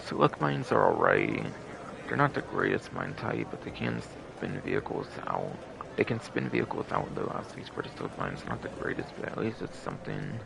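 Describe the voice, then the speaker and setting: A man's voice: deep voice, huge pitch swings, moderate pace, gravelly, background noise. A man hosting a game livestream with casual, rambling, repetitive commentary.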